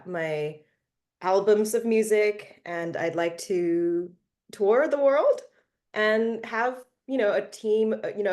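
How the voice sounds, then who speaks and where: A woman's voice: medium pitch, a little mellow. A young woman from Malaysia, a singer-songwriter being interviewed, answering casually with many fillers and restarts. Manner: hesitant, many fillers and restarts, laughs often.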